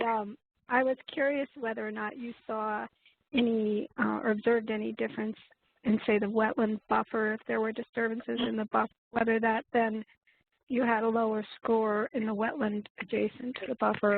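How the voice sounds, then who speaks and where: Hoarse woman with a cold, phone line. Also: fairly high pitch, flat delivery, normal speed. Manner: hesitant. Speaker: webinar attendee asking a question. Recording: tinny phone-line audio.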